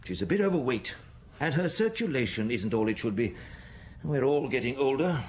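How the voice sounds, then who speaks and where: A man acting a middle-aged family doctor in an audio drama. He speaks at a medium pitch, casually reassuring and slightly evasive. A faint noise sits behind the voice. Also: expressive, normal speed, lightly acted.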